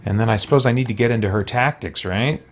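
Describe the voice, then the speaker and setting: A man's voice: medium pitch, quick pace. A man giving casual let's play commentary as a video host, thinking aloud with muttered asides and exclamations.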